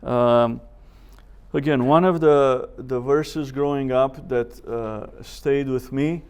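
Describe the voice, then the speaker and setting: A man teaching a Bible seminar as a professor, speaking in a measured way with deliberate pauses, scripture quotations and rhetorical questions. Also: mid-range voice, fairly even intonation, a little mellow, clear, resonant tone.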